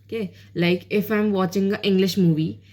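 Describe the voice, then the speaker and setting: A woman's voice: medium pitch, fairly even intonation, moderate pace, warm tone. Chatty Indian woman giving tips. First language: Hindi. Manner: rambling, with many fillers and restarts; switches between English and Hindi. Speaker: channel host giving learning tips. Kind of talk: casual spoken tutorial.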